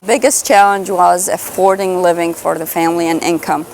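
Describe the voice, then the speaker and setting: Hesitant lower-voiced woman being interviewed. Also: fairly even intonation, moderate pace, creaky, darkish tone, slight background noise, rough recording. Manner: hesitant, repeats words. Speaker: immigrant newcomer interviewee. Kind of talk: unscripted interview talk.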